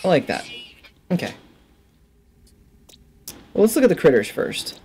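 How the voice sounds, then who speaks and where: A man's voice: fairly high pitch, wildly swooping pitch, a little slow, creaky, a little mellow, a little hiss or hum. A man in his thirties giving casual, enthusiastic let's play commentary, musing to himself as he plays. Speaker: gaming video host. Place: indoor room at a desk.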